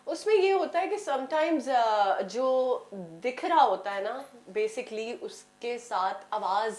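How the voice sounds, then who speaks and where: Higher-voiced Pakistani woman, casual guest. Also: lively intonation, takes it slowly, darkish tone. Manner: mixes in English phrases. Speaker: guest, voice-over artist. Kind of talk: casual talk show conversation.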